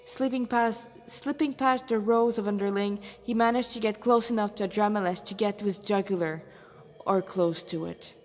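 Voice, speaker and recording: A woman's voice: medium pitch, steady tone, normal speed, a little mellow, soft background music. A woman reading her own fan fiction aloud as narrator, with dramatic phrasing and the odd stumble. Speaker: fan fiction author and reader. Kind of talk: read-aloud story narration. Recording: reverberant echoey room.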